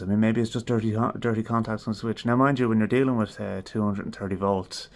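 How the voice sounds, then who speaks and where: Low-pitched Irish man thinking aloud. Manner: informal, thinking aloud, wry understatement. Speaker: host of a radio repair video. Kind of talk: casual hands-on repair commentary. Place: garage. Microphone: handheld phone.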